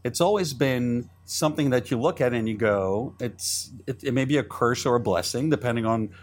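A middle-aged man from Israel whose first language is Hebrew talks as an interview guest. He speaks fast and keeps restarting his sentences. He repeats words as he goes. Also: mid-range voice. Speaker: entrepreneur guest. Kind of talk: interview conversation.

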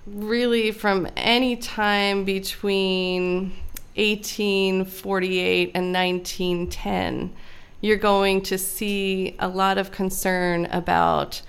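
A woman being interviewed, explaining history in a measured, lecturing way, often checking in with "right?". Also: mid-range voice, little pitch movement, creaky, smooth. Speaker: guest expert on immigration history.

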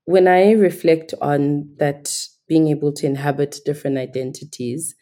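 Woman from Nigeria, low voice, warm mellow tone, thoughtful, articulate podcast guest. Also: fairly even intonation, moderate pace. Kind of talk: reflective conversational interview.

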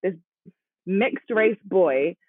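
Husky young woman, tinny sound. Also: mid-range voice, very slow, no room sound. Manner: emphatic, animated, talks over others. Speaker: podcast co-host. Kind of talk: casual chat. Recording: dry, tinny phone-like sound.

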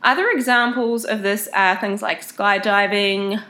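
A woman's voice: medium pitch, fairly even intonation, measured pace, sharp tone. A woman talks to her viewers in a casual, friendly way, walking them through practical travel tips one step at a time. A head cold makes her voice sound plainly nasal and stuffed up. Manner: friendly, chatty, explains step by step. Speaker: travel vlogger. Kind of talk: casual advice talk to camera.

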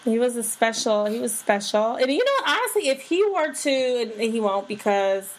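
A woman co-hosting a podcast in casual, gushing chat, her voice slightly hoarse and full of fillers and restarts. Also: a little high, very animated, moderate pace, slight background noise, low-quality recording. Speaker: podcast co-host.

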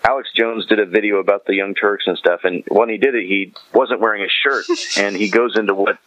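A man's voice: lowish voice, fairly even intonation, a little fast, a bit bright, muffled recording. A man chatting casually as a podcast guest, slangy and often chuckling as he retells things. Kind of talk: casual chat, animated retelling.

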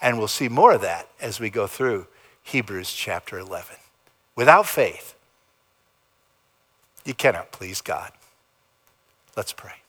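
Slow, dramatic preaching man. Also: expressive. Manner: dramatic preaching with big swings in pitch and loudness. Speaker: pastor. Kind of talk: sermon. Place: church sanctuary.

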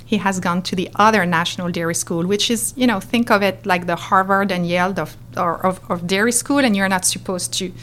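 A woman's voice: mid-range voice, fairly quick, few pauses, a little hiss or hum. A middle-aged woman from France with a French accent, animatedly telling her story as an interview guest. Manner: animated, expressive, acts out quotes.

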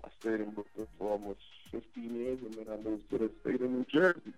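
A man in his forties, born in Trinidad and Tobago, talking by phone as a guest DJ in a casual interview, loud and animated as he tells his story. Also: fairly low pitch, fairly quick, faint music behind, rough recording. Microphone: phone line.

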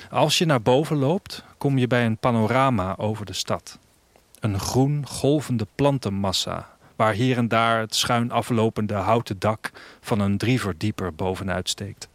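A man playing a restless, wistful traveller, narrating his story in a reflective, measured literary audio drama style. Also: lowish voice, fairly bright tone, no echo. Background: music.

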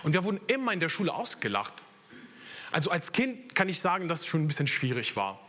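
A man's voice: on the high side, very animated, fast talker, fairly bright tone. A young man from Italy with an Italian accent, preaching a sermon in earnest and sharing his own story. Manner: earnest, personal testimony with slips in German grammar.

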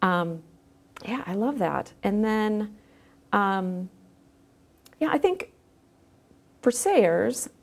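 A woman's voice: medium pitch, lively intonation, extremely slow, gravelly, long pauses. A woman speaking as a podcast guest in a thoughtful, conversational interview style, feeling her way through her phrases.

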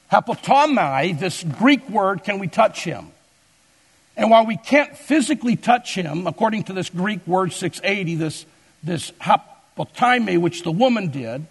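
A man preaching in a fairly high, gravelly voice with a husky edge. He leans on key words and stretches them out slowly for emphasis. His voice rings out with a long reverb, as if in a large church sanctuary. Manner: deliberate preaching with emphatic slow stresses. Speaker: pastor. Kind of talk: sermon.

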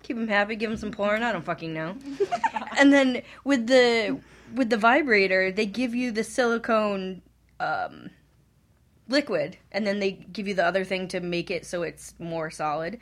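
A woman's voice: medium pitch, moderate pace. A woman explaining things in a casual, profane, blunt and matter-of-fact way.

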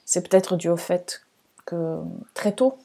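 A woman from Portugal with a Portuguese accent, speaking French as a second language in a reflective, measured podcast monologue, pausing between phrases. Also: lowish voice, mellow, breathy edge. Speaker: podcast host and astrologer.

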